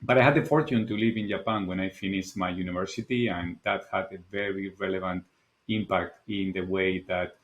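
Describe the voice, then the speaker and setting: A man's voice: low-pitched, a bit bright. A middle-aged Spanish man with a Spanish accent, speaking as a guest in a casual podcast interview, earnest and thoughtful, sometimes repeating words as he searches for them. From Spain. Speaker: guest, architect and designer.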